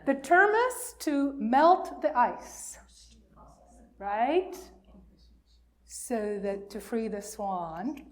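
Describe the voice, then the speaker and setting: A woman with a fairly high voice speaks at a very slow pace, as a minister giving a storytelling message for all ages. She leaves pauses and asks her listeners questions as she goes. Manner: questioning, pausing, inviting listeners to answer.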